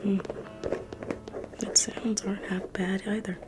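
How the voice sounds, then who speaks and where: A woman whispering softly and reassuringly as a private museum guide in an ASMR roleplay. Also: medium pitch, little pitch movement, takes it slowly, over loud music, poor audio. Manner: soft whispering, intimate and reassuring.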